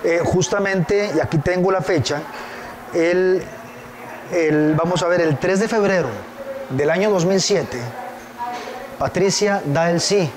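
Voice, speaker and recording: A man's voice: high-pitched, unhurried, pauses often, a crowd in the background. A man hosting a TV studio interview, asking questions conversationally on a lapel mic. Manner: conversational, prompting with dates and facts. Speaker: TV show host. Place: TV studio with stone backdrop.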